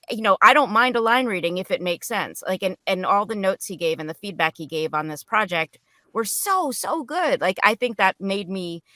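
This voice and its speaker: A woman's voice: mid-range voice, fairly bright tone, dry recording. A woman voice actor chatting casually on a panel in an earnest, appreciative way, correcting herself as she goes.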